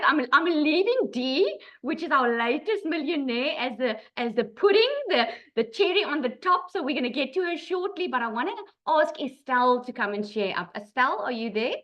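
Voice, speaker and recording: A woman with a South African accent hosting a group call, talking slowly and with feeling. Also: high voice, sharp tone, lo-fi sound. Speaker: host of an online business group.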